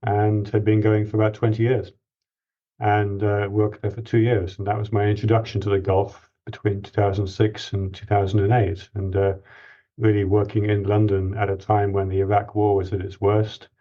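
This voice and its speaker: A man in his forties from Greece, a guest expert giving measured, explanatory interview answers with restarts. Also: fairly low pitch, completely flat delivery, lo-fi sound.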